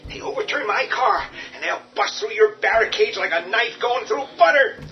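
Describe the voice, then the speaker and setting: A man's voice: sits high, lively intonation, moderate pace. An actor playing a panicky, quarrelsome father who insists on hiding in the cellar. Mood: agitated. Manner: agitated, quarrelsome, rising shouts. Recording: dull distant audio over music.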